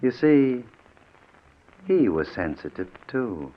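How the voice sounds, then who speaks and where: An actor playing a bitter, vengeful grey-haired ex-convict, sardonic and menacing, in 1940s radio drama style. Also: male voice, mid-range voice, sing-song, slow pace. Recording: muffled, narrow-band 1940s radio recording.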